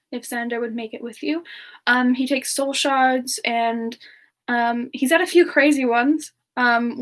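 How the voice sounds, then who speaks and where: Casting: woman as young female frost demon singer, high voice, slow and gentle, sweet in-character ASMR stream host. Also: lightly acted. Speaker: streamer answering viewer questions in character. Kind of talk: semi-ASMR in-character live Q&A.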